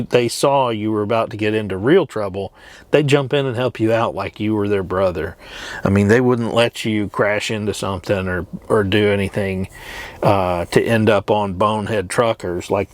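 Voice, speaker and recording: A middle-aged man talking in a casual, rambling vlog style, plain-spoken and hesitant, with trailing pauses. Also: lowish voice, no room sound. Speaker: truck driver and vlogger. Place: truck cab.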